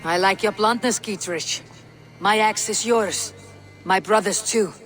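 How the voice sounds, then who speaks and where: A woman acting a blunt Viking warrior in a video game. She is terse, wary and sardonic but loyal, speaking in a middle-pitched voice over clear background music. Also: measured pace, a bit bright, lightly acted. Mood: firm, loyal. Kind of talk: video game cutscene dialogue.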